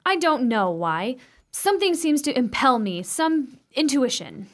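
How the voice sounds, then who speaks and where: An actress playing an anxious, suspicious sister in an old ghost story for a radio play, speaking with insistent drama. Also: female voice, high voice, sing-song, unhurried, a bit bright, a little hiss or hum, heavily acted. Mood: uneasy.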